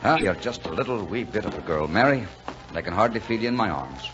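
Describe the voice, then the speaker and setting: An actor playing a kindly, gruff, lonely truck driver in folksy 1940s radio drama style. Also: male voice, on the low side, expressive, fast, natural voice. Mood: reassuring. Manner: folksy, plainspoken. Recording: muffled, noisy 1940s radio recording.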